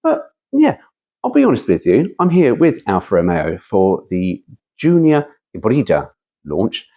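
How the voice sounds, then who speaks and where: Husky man, wildly animated pitch. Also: unhurried, phone-quality sound. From United Kingdom. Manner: rambling, playful, frequent self-interrupting asides. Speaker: car vlog host. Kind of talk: casual chat mixed with reading a brochure aloud.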